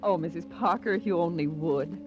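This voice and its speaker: A woman's voice: fairly low pitch, normal speed, music in the background, close to an everyday voice. A woman playing a kindly, earnest foster mother in a 1940s radio drama. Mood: heartfelt, pleading. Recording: muffled dry 1940s radio recording.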